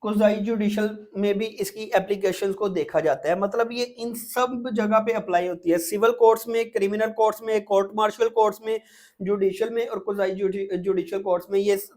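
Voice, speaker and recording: A man's voice: sits high, a little fast. Pakistani man teaching step by step. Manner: repetitive, checks in often with tag words. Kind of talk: exam-prep lecture, explaining step by step.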